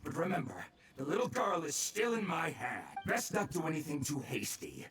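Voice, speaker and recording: A man's voice: a little high, huge pitch swings, moderate pace, fairly bright tone, slight background noise. A man voicing a cocky, threatening kidnapper villain who rattles easily, in an anime game. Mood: menacing. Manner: taunting, threatening. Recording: distant game audio with music.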